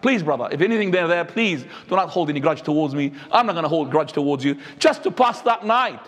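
Imam guy preaching in a mosque, high voice, lots of up and down, echoey. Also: quick pace. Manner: animated preaching, swinging between high and low. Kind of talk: Friday sermon. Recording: echoey hall.

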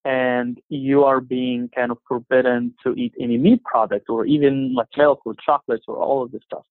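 Egyptian guy on a phone line, halting, lots of ums, dry and tinny. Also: mid-range voice, flat delivery, no echo. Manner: halting, many fillers and restarts. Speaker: interview guest. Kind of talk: casual conversation.

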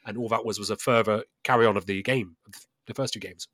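A man's voice: on the low side, fairly even intonation, quick pace, fairly bright tone, no echo, clean studio sound. A man co-hosting a casual video game chat, enthusiastic and often checking in with "right?". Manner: enthusiastic, tags statements with "right?". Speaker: podcast co-host.

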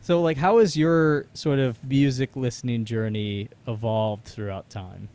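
Medium-pitched man, rambling casual interviewer. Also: fairly even intonation, unhurried, a little mellow. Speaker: interviewer, musician.

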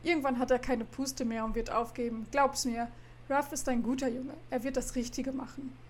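A woman reading a calm ranch husband. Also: high voice, normal speed, a little hiss or hum, natural voice. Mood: reassuring. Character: calm steady man running a youth ranch.

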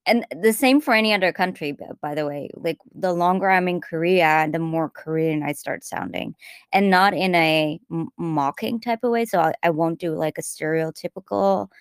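A woman's voice: fairly low pitch, normal speed, smooth, no room sound, studio quality. A woman with an American-influenced English accent, co-hosting a podcast in casual, chatty talk that wanders off on tangents and restarts sentences often. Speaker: podcast co-host.

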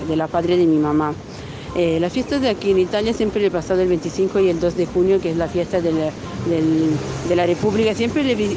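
A woman in her twenties from Italy introducing herself in a measured, plain way with some hesitations. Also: on the low side, background noise. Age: 20s. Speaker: guest. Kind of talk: self-introduction. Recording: music clearly underneath. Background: music.

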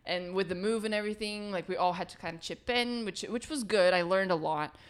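A woman's voice: mid-range voice, fairly quick, clean tone. A woman in her late teens from Brazil, talking thoughtfully and earnestly in a casual chat.

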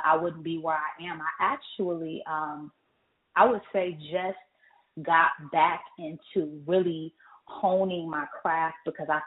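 A woman from California speaks as a podcast guest in a low voice, thoughtful and full of fillers. Her voice comes through a thin, phone-like line. It also rings in an echoey space. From California, United States. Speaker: guest, author and poet. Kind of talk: casual interview chat.

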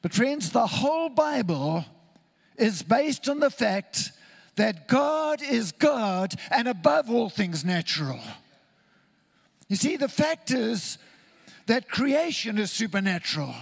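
High-pitched gravelly male preacher. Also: very animated. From Zimbabwe. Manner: earnest, deliberate, emphatic with repetition. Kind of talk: sermon.

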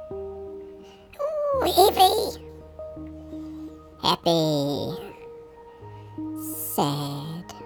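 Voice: medium pitch, vocal fry, full character voice. A performer voicing small, childlike, quirky cartoon creatures from a picture book, with exaggerated playful delivery. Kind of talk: picture book character voices.

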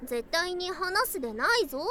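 A woman voicing a doting, excitable young lamia bride in an ASMR voice drama, speaking in archaic, old-fashioned phrases with girlish excitement. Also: very high-pitched, moderate pace, exaggerated voice. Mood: playful, curious. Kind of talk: ASMR situation voice drama, addressed to the listener.

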